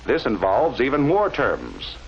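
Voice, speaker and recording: A man narrating a scripted 1940s instructional film in a measured, didactic way with careful enunciation, on a vintage film soundtrack. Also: mid-range voice, wildly swooping pitch, lo-fi sound, slight background noise.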